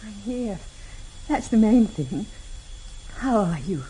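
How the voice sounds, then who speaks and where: An actress in a vintage radio drama playing a loving, betrayed wife, speaking haltingly with pleading emotion. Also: female voice, mid-range voice, lively intonation, warm tone, slight background noise, rough recording. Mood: tentative.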